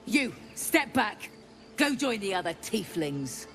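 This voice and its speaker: Druid sentry for a fantasy game: slow, swooping, curt warnings over music. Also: female voice, mid-range voice, very animated, fairly bright tone. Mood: threatening. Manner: curt, threatening. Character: hostile, warning female druid guard. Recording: music behind the voice.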